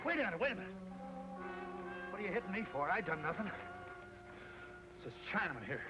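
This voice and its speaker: High-pitched, hot-tempered western ruffian. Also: male voice, big pitch swings, fast talker. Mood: furious. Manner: rough frontier grammar, shouted insults. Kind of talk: 1950s television western acting. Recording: muffled old TV soundtrack, very loud music.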